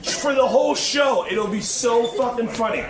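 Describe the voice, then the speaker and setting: A man's voice: very high voice, normal speed. A man in a heated casual argument, insistent and pleading, repeating himself. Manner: insistent, repetitive, pleading, says "bro" and "dude". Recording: echoey room, loud crowd noise. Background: loud crowd.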